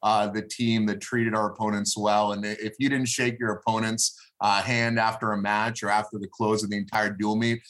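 A man in his thirties, a native Hebrew speaker from Israel, talking as an interview guest in a thoughtful, halting way with many fillers. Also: fairly low pitch, level tone, a bit bright. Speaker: guest, moving company businessman. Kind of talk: casual podcast interview.

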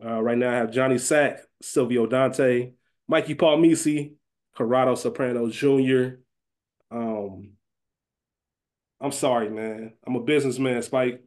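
A man's voice: mid-range voice, steady tone, a little slow, darkish tone. A man co-hosting a podcast, chatting casually and slangily and repeating phrases for emphasis. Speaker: podcast co-host. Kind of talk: casual fantasy-draft banter.

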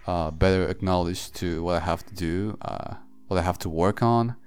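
A man hosting a solo podcast, thinking aloud in a halting, reflective way with frequent pauses and fillers. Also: low voice, gravelly, darkish tone, smooth. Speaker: podcast host. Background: faint background music.

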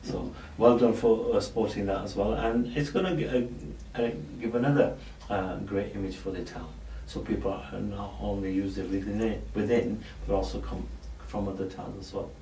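Low-voiced man hosting, echoey and distant. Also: steady tone, moderate pace, few pauses, dark tone. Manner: eager, with many agreeing fillers. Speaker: podcast host. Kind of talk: casual interview questions. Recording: echoey room, distant mic, faint noise.

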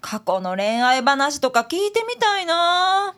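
Woman doing a chatty kansai assistant girl, high voice, really animated, breezy radio drama acting. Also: slow pace, heavily acted. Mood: curious gossip. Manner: breezy, outspoken, excitable. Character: outspoken, chatty young female detective's assistant.

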